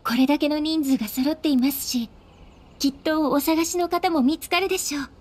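A woman playing a gentle, dignified young desert guardian in a video game, polite, composed and soothing. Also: sits high, fairly quick, close to an everyday voice. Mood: reassuring.

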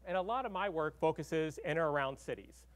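Keynote speaker: middle-aged man, slightly high voice, loose and chatty, podium mic in a big hall. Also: fairly quick. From Arkansas. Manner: conversational, many fillers and "right?" tags. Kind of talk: conference keynote lecture. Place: large conference hall with audience.

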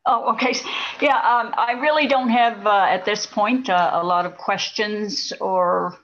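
A woman's voice: medium pitch, fairly even intonation, unhurried, fairly bright tone. A woman speaking informally and a little hesitantly as a member of the public on a remote call. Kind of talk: informal spoken comment. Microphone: video call. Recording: dull lo-fi remote call audio.

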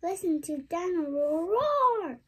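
Woman doing storybook toy dinosaur, boastful, chanting, high-pitched, slow stretched words. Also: very animated, a bit bright, heavily acted. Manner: chanting, drawn-out.

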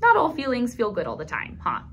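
A woman in her thirties leading a toddler storytime, speaking gently in simple phrases for small children as she reads aloud and comments. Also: a little high, wildly swooping pitch, fairly quick, slight background noise. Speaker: children's librarian, storytime host. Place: small indoor room at a library.

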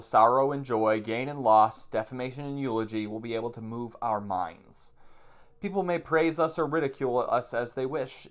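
A man around forty, a plain-spoken meditation teacher who reads aloud and explains things informally, repeating points for emphasis. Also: mid-range voice. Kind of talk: reading aloud from a book with informal commentary. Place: small room at home, indoors.

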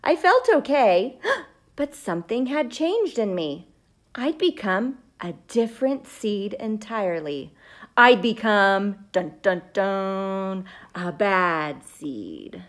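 A woman plays a little sunflower seed, grumpy but trying to be good, confessing its story from a children's book. The delivery is dramatic and slow, with big swings in pitch. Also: big pitch swings. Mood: gloomy, brooding. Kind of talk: children's storybook read-aloud.

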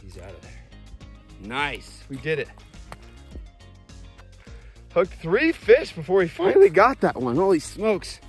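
An American man in his thirties narrating a casual fishing vlog outdoors on a frozen lake, filmed on a handheld phone and at times hushed to a murmur. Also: fairly high pitch, wildly swooping pitch, unhurried, pauses often, soft background music. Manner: easygoing, drops to a hushed murmur while landing a fish. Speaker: fishing vlogger. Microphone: handheld phone camera.

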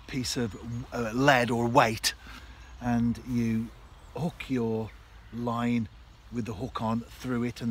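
A man in his fifties giving a casual instructional fishing vlog in a measured, explanatory way, in short phrases. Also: medium pitch. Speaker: angler and vlogger. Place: outdoors on a canal bank. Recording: clear outdoor background noise.

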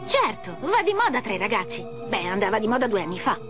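Young bandicoot whiz, played by a woman: girlish, animated game voice with music behind. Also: medium pitch, fast, natural voice. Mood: confident. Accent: Italian. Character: perky, clever young female bandicoot gadget whiz. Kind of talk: animated game cutscene acting.

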